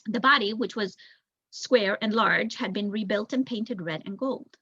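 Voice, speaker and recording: A woman's voice: mid-range voice, a little slow, bright, forceful tone, breathy edge, no echo, muffled recording. A young woman reads a storybook aloud as narration, expressively, with an occasional stumble. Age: young adult. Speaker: volunteer audiobook reader.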